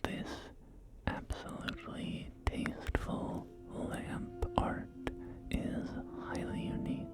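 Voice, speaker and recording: A man voicing a polite wasteland real estate agent in a whispered ASMR roleplay, with formal, softly persuasive sales patter. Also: slow, loud music behind the voice, natural voice. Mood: smooth selling.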